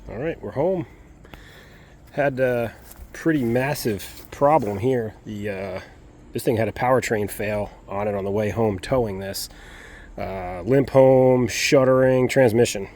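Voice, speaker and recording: A man's voice: medium pitch, a little slow, darkish tone. A man chatting casually and informally to his viewers as the host of a car vlog, in a folksy way. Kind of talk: casual handheld vlog chat. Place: mostly outdoors, around vehicles, parking lot and property. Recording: noisy outdoor recording with vehicle sounds.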